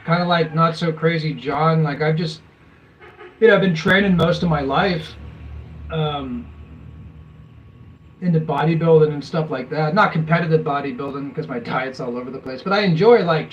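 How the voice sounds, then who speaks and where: A man's voice: on the high side, distant mic, highs cut off, slight background noise. A young American man hosting a casual livestream, reading viewer messages aloud and answering them in a rambling way full of fillers. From USA. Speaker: livestream host reading chat. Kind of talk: casual live chat.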